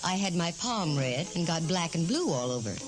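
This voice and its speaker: A woman's voice: on the low side, big pitch swings, moderate pace. An actress playing a wry, self-assured woman private detective in a 1940s radio drama. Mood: wry narration. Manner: wry, sardonic. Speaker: lead actress and first-person narrator. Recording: old 1940s radio recording, music behind.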